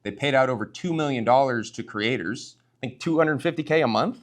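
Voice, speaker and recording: A man's voice: medium pitch, fairly quick. A man speaking as a startup founder at a tech meetup, conversational, addressing the audience directly and posing rhetorical questions.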